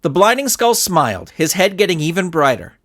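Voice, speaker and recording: A man's voice: sits high, sing-song, moderate pace, a bit bright. A man narrating a scripted story as an audiobook reader. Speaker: narrator and author.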